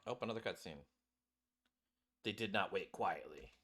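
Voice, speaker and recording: A man's voice: low-pitched, lively intonation, moderate pace. A man giving casual, mumbled, offhand commentary while streaming a game. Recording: game music playing behind.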